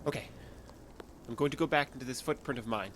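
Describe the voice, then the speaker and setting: A man's voice: medium pitch, fast talker, a bit bright, a little hiss or hum, close to an everyday voice. A man playing an earnest, trusting young man, a loyal son, in an audio drama, with a hesitant, pleading manner. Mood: uneasy, cautious.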